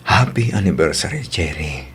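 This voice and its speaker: Man as a deep, stammering Filipino husband. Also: expressive, slow pace, husky, full character voice. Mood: sheepish, affectionate. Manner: hesitant, stammering. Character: evasive, guilty cheating husband making amends. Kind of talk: Ilocano audio drama acting.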